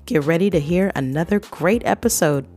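A woman's voice: on the low side, normal speed, over music. A woman hosting a travel podcast, asking her guest questions in a friendly, conversational way. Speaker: podcast host. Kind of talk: podcast interview.

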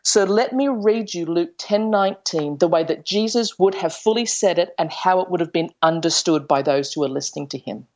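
A woman's voice: on the low side, normal speed, hardly any pauses, a little mellow, highs cut off. A woman giving an earnest spiritual teaching as a Bible teacher, explaining carefully and stressing key phrases.

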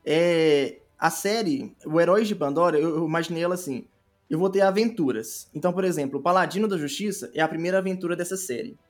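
High-pitched Brazilian man, chatting over music. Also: fast. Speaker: podcast guest, audio drama creator. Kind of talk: casual explanatory interview talk.

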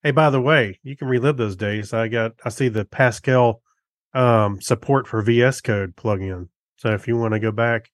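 Guy cohosting a podcast, mid pitched voice, casual kinda hesitant chat, dry studio-like sound. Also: normal speed, no room sound. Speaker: podcast co-host.

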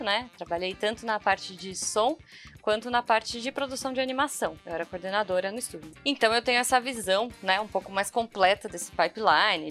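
A woman's voice: mid-range voice, fairly bright tone. A woman with a Brazilian Portuguese accent hosting a podcast, explaining things casually and speaking informally straight to the listeners. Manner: chatty, informal, addresses listeners directly. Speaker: podcast host. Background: background music.

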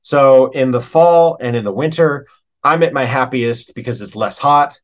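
A man's voice: mid-range voice, normal speed. A middle-aged American man chatting casually as an interview guest, enthusiastic, rambling and a little self-aware.

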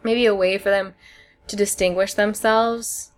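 A woman's voice: mid-range voice, steady tone, a little slow. A woman co-hosting a podcast in casual, conversational discussion. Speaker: podcast co-host.

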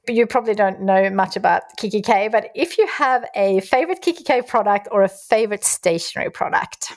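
A woman's voice: mid-range voice, a little fast, no echo. A woman whose first language is Swedish, hosting a casual interview and affirming her guest often. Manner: warm, affirming, laughs often. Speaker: podcast host.

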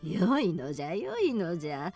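A woman's voice: fairly low pitch, very animated, moderate pace, warm tone, faint music behind. A woman playing a centuries-old, mysterious and stern old mountain woman with archaic, weighty speech in a radio drama. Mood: cryptic, calm.

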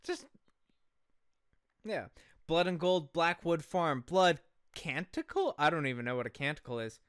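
Crisp, bright male podcast storyteller. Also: fairly high pitch, big pitch swings, unhurried, no room sound, studio quality. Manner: irreverent, sweary, joking. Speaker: podcast co-host. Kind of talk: casual comedic storytelling.

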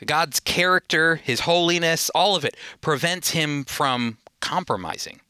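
A man's voice: fairly high pitch, lively intonation, measured pace, pushed and bright, clean tone, no room sound. A man teaching the Bible on radio in a conversational, earnest and emphatic way.

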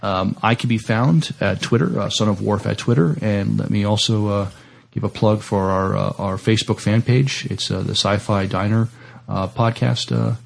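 A man co-hosting a podcast, talking casually in a halting, hesitant way. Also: on the low side, steady tone, gravelly. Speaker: podcast co-host. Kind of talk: casual list rundown and chat.

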